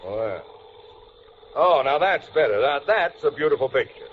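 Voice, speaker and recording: An actor playing a bossy older banker and husband in a vintage radio comedy, pompous and blustering. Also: male voice, fairly high pitch, lively intonation, normal speed, lightly acted. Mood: sarcastic. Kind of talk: 1940s-50s radio sitcom acting. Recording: muffled old radio recording, faint hiss.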